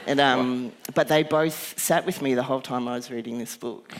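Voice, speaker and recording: A man's voice: mid-range voice, fairly even intonation, moderate pace, slight background noise. A man in a middling voice hosts a live onstage interview, asking questions with plain enthusiasm. He laughs often and sounds delighted by his guest. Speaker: host. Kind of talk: onstage interview before an audience.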